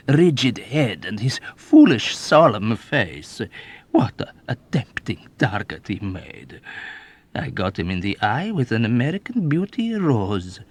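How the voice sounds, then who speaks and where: A man's voice: mid-range voice, heavily acted. A man voicing a sly, villainous 107-year-old man who talks suavely and teasingly in an audiobook. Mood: teasing, scornful. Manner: suave, teasing, drawn-out delivery.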